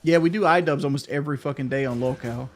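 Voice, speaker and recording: A middle-aged man streaming a game, chatting casually and cursing, rambling with frequent restarts and stutters. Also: medium pitch. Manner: rambling, profane, stutters when thinking fast. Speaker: gaming streamer. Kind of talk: casual livestream chat.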